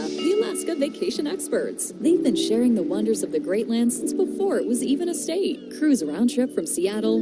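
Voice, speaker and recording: Animated American woman reading a radio ad. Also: a little high. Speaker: advertising announcer. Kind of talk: promotional radio ad read. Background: loud music bed.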